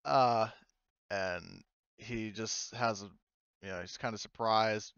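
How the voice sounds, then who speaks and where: Man, game master, casual halting narration, smooth low voice, dry dull lo-fi recording. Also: fairly bright tone, no room sound. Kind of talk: improvised tabletop game narration.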